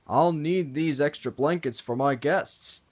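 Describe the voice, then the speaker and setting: A man's voice: a little high, measured pace, voice pushed up. A man voicing a friendly, chatty country innkeeper in a storybook reading.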